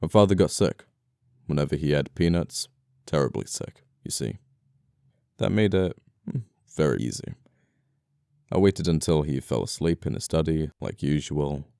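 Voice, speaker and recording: A man acting as an amoral but apologetic, flirty murderer in an ASMR audio roleplay, speaking with unhurried, polite menace. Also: a bass voice, creaky, dark tone, clean tone, no echo, clean studio sound. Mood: smug confiding.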